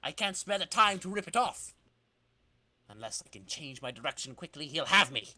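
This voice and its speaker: A man's voice: fairly high pitch, very animated, moderate pace, sharp tone, dry recording, full character voice. A man voicing a cocky, boastful skateboarding villain in a theatrical comic book dramatic reading, taunting his foe. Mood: alarmed. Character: cocky villainous male rocket-powered skateboarder.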